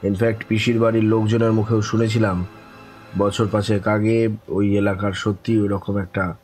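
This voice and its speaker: Man acting a grave, low-voiced weary storyteller. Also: flat delivery, a little mellow, soft background music, close to an everyday voice. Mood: insistent, challenging. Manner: measured, earnest, philosophical. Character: grave, weary older-brother storyteller of eerie experiences. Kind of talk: audio horror story acting.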